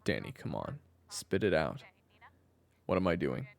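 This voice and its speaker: A man acts out a jaded former thief in an audio drama. His voice is extremely deep and thick with gravel, yet swings widely in pitch. The delivery is dry, deadpan and sarcastic. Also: a little slow, full character voice. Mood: weary. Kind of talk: audio roleplay acting, phone conversation.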